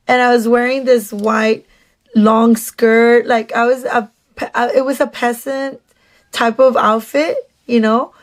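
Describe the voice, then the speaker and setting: A woman with a Mexican Spanish accent, chatty and animated, telling a dating story to her phone camera with many restarts. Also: fairly high pitch. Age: adult. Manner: slips into Spanish "dije". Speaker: dating vlogger.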